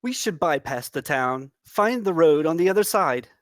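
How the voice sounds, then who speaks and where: A performer plays a princess's earnest, careful companion. The delivery is measured and courteous with formal wording, recorded dry at a middle pitch for a table read. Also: expressive, no echo, natural voice. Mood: cautioning. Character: earnest, cautious travel companion and servant to a princess. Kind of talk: acting in a film script table read.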